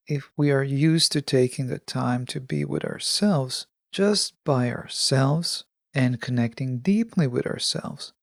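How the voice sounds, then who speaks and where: A woman hosting a solo self-help podcast, speaking in a calm, measured and instructive way with pauses. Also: low voice, gravelly, no echo, clean studio sound. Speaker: podcast host.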